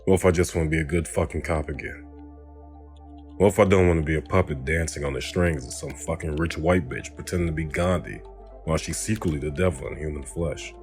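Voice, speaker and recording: A man's voice: a bass voice, fairly quick, a little mellow, faint music behind. A man playing a bitter, cynical, foul-mouthed cop in an audio drama, sardonic and defiant.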